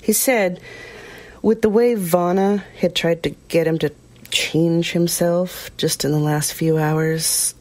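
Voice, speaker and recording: A woman's voice: lowish voice, fairly even intonation, slow, darkish tone, no room sound. An actress playing a troubled woman recounting a frightening ordeal in an audio drama, reflective and hesitant. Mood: subdued, haunted.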